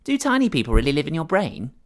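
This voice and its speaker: A man's voice: on the high side, wildly swooping pitch, fast talker, voice pushed up. A man voicing a cheeky, know-it-all young boy who pesters with questions in a short story. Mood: pestering. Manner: questioning, needling.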